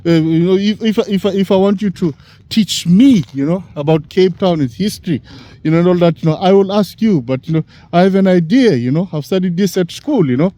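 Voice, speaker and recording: A man in his sixties from Africa, talking in a halting, rambling way, full of "you know" fillers. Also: high voice, dark tone. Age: 60s. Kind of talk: casual rambling monologue.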